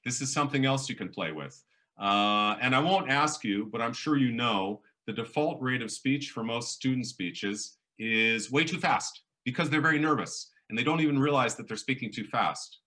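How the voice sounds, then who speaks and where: A middle-aged man with a big, booming voice, leading a public speaking workshop and playing with his volume and pace to make his points. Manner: animated, varies volume and pace for emphasis. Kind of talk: lively workshop lecture.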